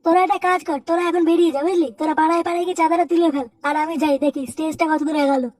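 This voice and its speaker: A performer voicing a bossy young male club organiser in a cartoon comedy, giving brisk orders. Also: very high-pitched, steady tone, a little mellow, no room sound, recorded from a distance.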